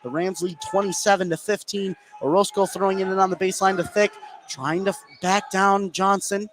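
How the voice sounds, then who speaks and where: A man's voice: high voice, steady tone, a little fast, a bit bright. A young man calling live basketball play-by-play for radio. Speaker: play-by-play sports announcer. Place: courtside in a high school gym. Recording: noisy gym ambience behind voice. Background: faint gym noise.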